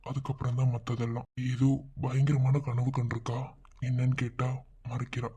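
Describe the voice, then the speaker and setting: A man's voice: mid-range voice, little pitch movement, moderate pace, mellow, slightly rough. Man playing an anxious, caring young husband. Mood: brooding. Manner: anxious, coaxing. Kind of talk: audio drama acting.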